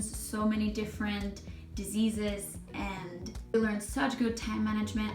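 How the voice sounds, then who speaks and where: A woman's voice: mid-range voice, darkish tone. A young adult woman from Chile, a nursing vlogger, talking casually and expressively to camera, laughing often. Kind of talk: casual vlog talk to camera. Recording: echoey room, mic at a distance.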